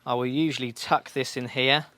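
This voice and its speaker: Bright-voiced man giving a hands-on tutorial. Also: medium pitch, steady tone, normal speed. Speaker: motorcycle shop staff giving a fitting tutorial. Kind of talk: hands-on instructional demonstration, informal.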